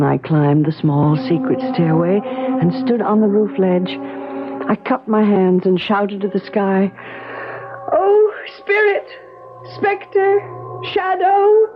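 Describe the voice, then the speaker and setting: An actress playing an anxious, probing wife who recalls her past in a theatrical, deliberate old-time radio mystery style. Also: on the high side, lightly acted. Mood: pleading, calling out. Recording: dull vintage radio recording with music.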